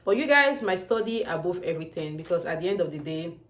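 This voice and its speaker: A young woman with a Nigerian accent delivers chatty advice straight to camera, with an emphatic streak and frequent calls to her viewers. Her voice sits on the low side and she talks at a brisk clip. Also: lively intonation, fairly bright tone. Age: young adult. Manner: chatty, emphatic, often calls out "you guys". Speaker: student vlogger. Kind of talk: casual advice vlog to camera.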